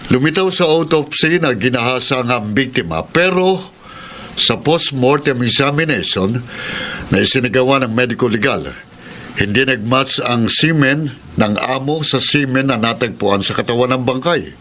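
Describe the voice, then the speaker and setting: A measured, firm police investigator, voiced by a man in an old radio drama. His voice is bright and even, set against a faint hiss. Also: mid-range voice, lightly acted. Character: calm male police investigator. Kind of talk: 1990s radio drama acting.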